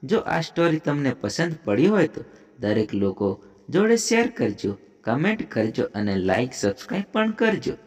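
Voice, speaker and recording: A male storyteller with a Gujarati accent narrates a devotional moral tale. His voice sits at a medium pitch and moves up and down in an animated way as he reads from a script. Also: normal speed, breathy edge. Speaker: storyteller, channel host.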